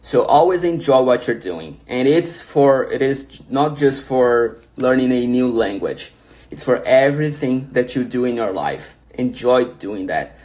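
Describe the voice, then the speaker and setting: A man's voice: medium pitch, darkish tone. A young man from Brazil with a Brazilian Portuguese accent, a native Portuguese speaker, hosting a casual livestream where he reads viewer questions aloud and answers them encouragingly, often restarting his sentences.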